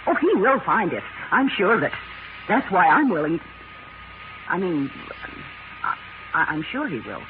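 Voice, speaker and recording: An actress playing a proper, guarded wife in a 1940s radio drama, with a formal, earnest manner. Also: female voice, on the low side, big pitch swings, measured pace, pauses often, over music. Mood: earnest, protective. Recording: noisy, tinny 1940s radio recording.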